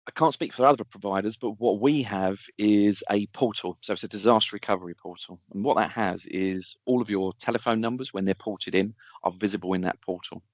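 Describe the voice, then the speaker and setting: Male webinar specialist: low-pitched, dry close sound, practical step-by-step answers, informal delivery. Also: brisk, hardly any pauses, dry recording. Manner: explains step by step with practical examples. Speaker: technical expert answering audience questions. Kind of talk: informal technical webinar explanation.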